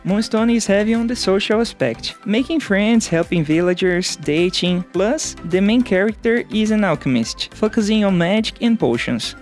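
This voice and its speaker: A young man from Brazil, Portuguese native, with a Brazilian accent, narrating his game devlog in a playful, self-mocking way. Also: high voice. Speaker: solo game developer. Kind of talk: scripted devlog voice-over.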